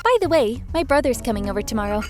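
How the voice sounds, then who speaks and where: Woman playing a high-voiced, sweetly oblivious young wife. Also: animated, fast, over music, natural voice. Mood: innocent, casual. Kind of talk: anime parody dub.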